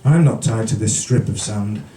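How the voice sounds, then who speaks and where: A man from the British Isles reading poetry live at a café event in a measured storytelling manner, with brief casual asides. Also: lowish voice, dark tone. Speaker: featured poet. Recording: roomy, distant, noisy live recording.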